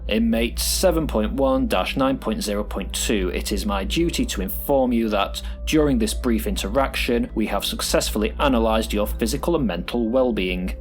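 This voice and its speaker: A man's voice: lowish voice, normal speed, hardly any pauses, faint music behind, lightly acted. A man playing a callous, officious prison supervisor in an audio drama, instructing in a matter-of-fact, clinical way. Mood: cold officialdom. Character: callous, officious male prison pod supervisor training a recruit.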